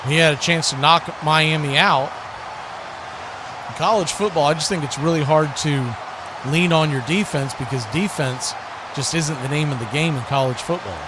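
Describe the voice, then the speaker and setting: Male football play-by-play commentator, high-pitched, calling the game live over very loud crowd noise. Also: normal speed. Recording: loud crowd roar and music behind.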